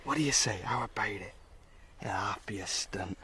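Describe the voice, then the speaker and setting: An actor playing a cocky rural gang ringleader, a rough lad with a West Country accent, in a radio drama. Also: low voice, normal speed, heavy background noise, natural voice. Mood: scheming. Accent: West Country English. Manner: blunt, swaggering dialect talk.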